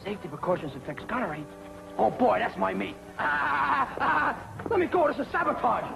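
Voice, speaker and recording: An actor playing a cocky, bumbling young navy pilot who brags and wisecracks, in the acting style of a 1940s training film. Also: male voice, very high voice, moderate pace, creaky, exaggerated voice, low-quality recording. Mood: mock panic. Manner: wisecracking, boastful, jeering. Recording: old film soundtrack with music and hiss.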